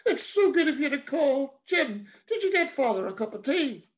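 Bossy young mum, man doing the voice, high and loud. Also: big pitch swings, moderate pace. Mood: gushing. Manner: shouting from another room. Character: bustling young mother, bossy and bright.

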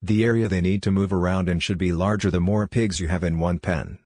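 A man narrating a scripted farming guide in a steady, even reading voice. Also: low voice, brisk, no room sound, studio quality.

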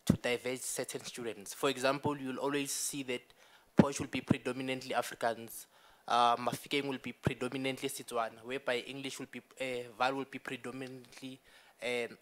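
A man's voice: mid-range voice, fairly bright tone. A young man whose first language is Zulu, answering earnestly in a university debate at a desk microphone. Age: young adult. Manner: earnest, gesturing while arguing. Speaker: student panelist. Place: university meeting room.

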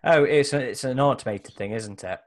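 A man's voice: mid-range voice, a little fast, dry recording. A man playing a tabletop roleplaying game online, bantering casually in character with a teasing, wry manner. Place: indoors at home on a group video call.

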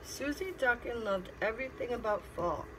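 A middle-aged woman reads a picture book aloud as a teacher, pausing to explain and ask simple questions. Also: a little high, expressive. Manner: instructive, asking simple questions. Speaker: teacher reading to children. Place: classroom. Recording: clear background noise.

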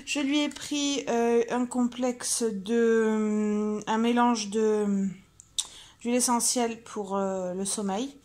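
A woman's voice: on the high side, fairly even intonation, slow pace, a little mellow. A woman, chatting informally and thinking aloud as she shows her shopping in a casual vlog. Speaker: vlogger. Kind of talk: casual vlog chat, showing purchases. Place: car interior, later a room at home.

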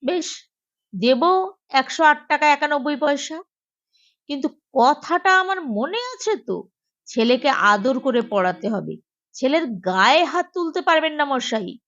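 A woman's voice: sits high, animated, measured pace, a bit bright, muffled recording, exaggerated voice. A woman voicing a protective, insistent father bargaining with his child's tutor in a comic audio story. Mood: firm warning. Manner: firm, insistent.

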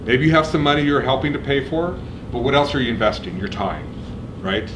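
Middle-aged man, emphatic orientation speaker, mid pitch, noisy lecture room. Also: brisk. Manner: energetic, emphatic, checks in with okay and right. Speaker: program administrator. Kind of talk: orientation speech.

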